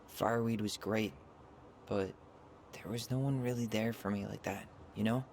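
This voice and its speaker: An actor playing a supportive, earnest young adult brother with an American accent in a naturalistic video game cutscene. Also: male voice, fairly low pitch, steady tone, normal speed, a little mellow, a little hiss or hum, natural voice. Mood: reflective, grateful.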